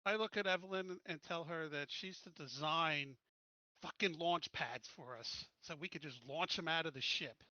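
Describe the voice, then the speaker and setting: A man's voice: fairly high pitch, normal speed, bright, forceful tone, dry recording, lo-fi sound. A man playing a tabletop game, talking offhand and blunt with casual swearing. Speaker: player.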